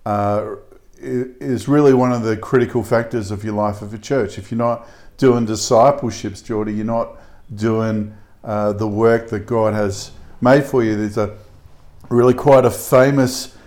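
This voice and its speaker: A man's voice: lowish voice, steady tone, a little slow, darkish tone. A man who is a pastor, co-hosting a casual podcast discussion in an earnest, emphatic way with frequent fillers.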